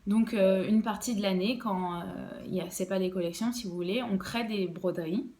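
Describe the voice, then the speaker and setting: A woman's voice: mid-range voice, normal speed, mellow. A young woman, an embroidery designer, explaining her work in a casual podcast interview, hesitant and full of fillers and restarts.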